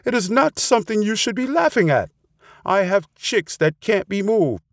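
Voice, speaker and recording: A man's voice: high voice, animated, moderate pace, no echo, studio quality, voice pushed up. A man voicing an anxious, earnest mother turtle dove in a storybook tale. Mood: upset. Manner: pleading, earnest. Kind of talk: storybook character voice.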